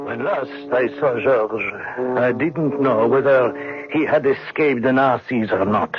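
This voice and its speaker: A male actor as a soldier telling his own wartime story in a radio play. The voice sits at a middle pitch, with a matter-of-fact narrating style, on a muffled vintage broadcast with prominent music behind. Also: slow, close to an everyday voice. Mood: measured, recounting. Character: Charles, male soldier recounting his part in a prison escape. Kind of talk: first-person radio drama narration. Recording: dull old radio recording with music.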